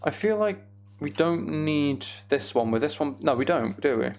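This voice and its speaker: A man's voice: fairly high pitch, lively intonation, normal speed, darkish tone. A man streaming a video game, chatting casually and thinking aloud as he works things out. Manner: muttering to himself while working things out. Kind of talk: casual live gameplay commentary, thinking aloud.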